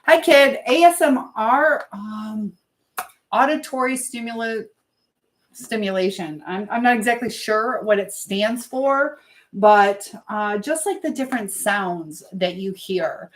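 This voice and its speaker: A woman's voice: medium pitch, slow pace. A woman hosting a live stream in a casual, rambling chat, drifting between topics as she keeps busy with chores.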